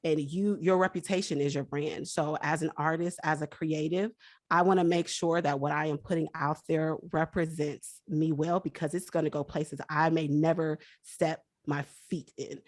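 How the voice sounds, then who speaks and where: A woman's voice: deep voice, fairly even intonation, normal speed, a little mellow, no echo, studio quality. A woman guest on a faith-based interview, speaking about personal branding in an earnest, encouraging way.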